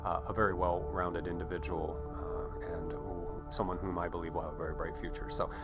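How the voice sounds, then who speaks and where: A man hosting an interview show in a conversational style, with halting, rambling delivery and chatty asides. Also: deep voice, flat delivery, over music.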